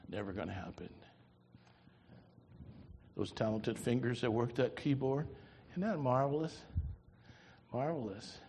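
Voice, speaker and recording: Older pastor preaching in a reverberant church. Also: male voice, mid-range voice, slight background noise. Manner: lively preaching, swings from loud to soft. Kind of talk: sermon.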